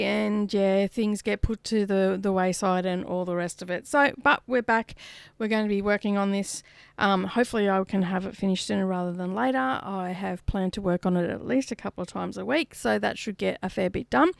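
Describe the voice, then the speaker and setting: A woman chats casually about her crafts and a card reading, rambling along with plenty of ums. Her voice sits at a middling pitch and is recorded dry and close. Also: vocal fry, clean tone, dry recording. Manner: rambling, lots of um fillers. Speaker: crafting channel host.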